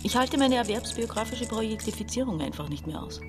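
A woman's voice: fairly high pitch, animated, brisk, music in the background, natural voice. An actress playing a domineering, desperate woman of about forty in a radio crime drama, confiding one moment and bossy the next. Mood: weary. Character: domineering, desperate sister around forty, plotting and escapist. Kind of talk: German radio crime drama acting, narration and dialogue.

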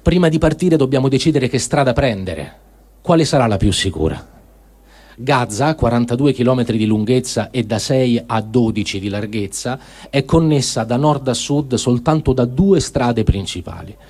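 A man with a husky, mid-pitched voice performs a literary diary reading. He speaks in short, deliberate phrases, measured and dramatic, as a reader on radio. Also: expressive, darkish tone. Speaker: reader of written testimony on radio.

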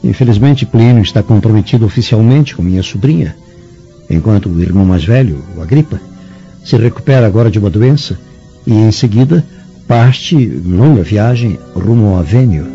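Mellow, dark-toned Roman patrician. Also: male voice, lowish voice, animated, normal speed. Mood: reasoning. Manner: reasonable, formal. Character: conciliatory middle-aged Roman patrician husband. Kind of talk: formal radio drama acting. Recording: muffled recording with music underneath.

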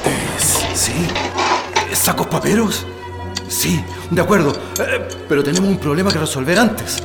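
A man's voice: a little high, animated, a little slow, gravelly, loud music behind the voice. An actor with a Chilean accent playing a weary male political prisoner in a radio drama. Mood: earnest.

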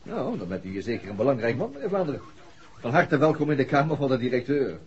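An actor playing an evasive doctor's secretary in a 1960s radio drama, hesitant and uneasy behind a forced breeziness. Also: male voice, medium pitch, sing-song, fast, darkish tone, lightly acted. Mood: breezy, hesitant. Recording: dull, muffled 1960s radio recording.

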